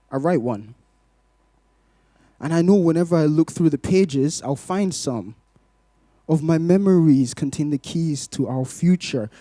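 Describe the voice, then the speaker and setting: A man in his twenties addresses a congregation as a testimony speaker and poet. His voice sits a little high yet is relaxed and warm in tone, with very little room sound. He phrases things in a measured, rhythmic way. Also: dry recording, studio quality. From Glasgow, Scotland, born in Malawi. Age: 20s. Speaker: church guest speaker giving testimony, poet. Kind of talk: rhythmic spoken-word poetry in places.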